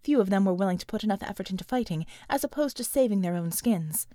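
A woman's voice: medium pitch, lively intonation, fast talker, dark tone, dry recording, clean studio sound. A woman reading dramatic action fiction as an audiobook narrator, in a scripted storytelling style.

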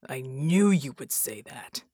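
A woman voicing a calm, steady man with a rumbling voice and a deliberate delivery in an audiobook story. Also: husky, dry recording, clean studio sound, exaggerated voice. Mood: gruff resignation.

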